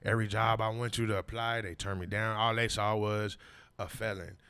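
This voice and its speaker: A man's voice: fairly low pitch, level tone, normal speed, pushed and bright, smooth. A man, telling his story as a podcast guest in emphatic, slang-heavy casual talk. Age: 40s. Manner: emphatic, repetitive street slang, frequent "you know what I mean".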